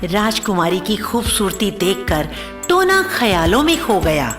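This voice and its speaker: A woman's voice: mid-range voice, sing-song, a little slow, a bit bright, soft background music. A woman narrating a scripted cartoon story as a storyteller.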